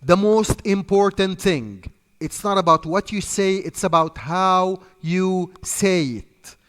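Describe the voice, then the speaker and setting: Egyptian guy coaching sales reps, kinda high voice, repeats himself a lot, big echoey room. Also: slow, fairly bright tone, smooth. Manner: repetitive, emphatic coaching, talks off the cuff. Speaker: sales trainer. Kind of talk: instructional talk to trainees. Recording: long hall reverb.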